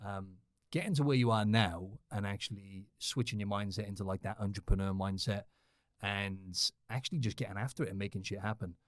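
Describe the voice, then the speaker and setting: Quick-talking Welsh podcast host. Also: male voice, on the low side, dry recording, studio quality. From Cardiff, South Wales. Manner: upbeat, chummy, says man and right a lot. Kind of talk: casual podcast interview.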